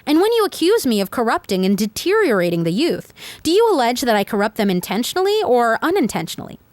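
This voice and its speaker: A woman's voice: fairly high pitch, big pitch swings, fast, darkish tone, no echo. A woman reading as an old philosopher on trial. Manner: argumentative, questioning, rhetorical. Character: elderly male philosopher defending himself in court. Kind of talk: reading a dialogue aloud.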